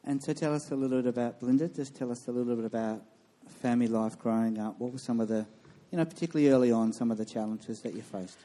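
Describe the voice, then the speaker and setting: A man's voice: mid-range voice, fast talker, few pauses, mellow. A man hosting a church interview on stage, encouraging and reassuring his guests.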